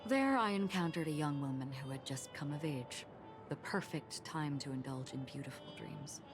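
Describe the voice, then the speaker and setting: An actress voicing a calm, forgetful young swordswoman in a game, speaking quietly and half-whispered. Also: deep voice, normal speed, lightly acted. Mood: wistful. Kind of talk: game voice acting. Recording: game audio with music underneath.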